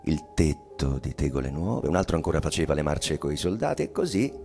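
Deep, gravelly, domineering husband. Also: male voice, big pitch swings, warm tone, faint music behind, full character voice. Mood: brooding. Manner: deliberate, insistent, theatrical. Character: domineering, probing husband in a ritual of power. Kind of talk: dramatic stage reading for radio.

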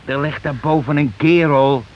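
An actor playing a gruff, bossy, irritable working-class husband in a radio play, with coarse, nagging, impatient talk. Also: male voice, a little high, fairly even intonation, moderate pace, close to an everyday voice. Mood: agitated, scolding. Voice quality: coarse colloquial speech. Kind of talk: naturalistic radio play acting. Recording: dull, noisy old radio recording.

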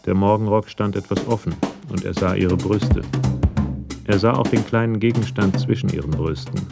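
A man narrating a radio crime drama from a script in a measured, matter-of-fact way. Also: fairly low pitch, vocal fry. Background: music with drums.

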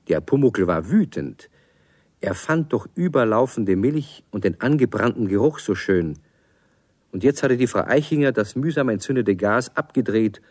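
Low, animated male storyteller, dry sound. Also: no echo. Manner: expressive, clearly phrased. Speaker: narrator. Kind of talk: scripted storytelling narration for children's radio play.

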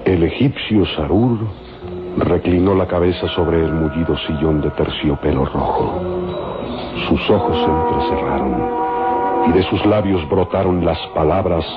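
Very deep male radio serial narrator. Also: a little slow. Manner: grave, theatrical storytelling. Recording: dull old radio recording, loud music. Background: loud dramatic music.

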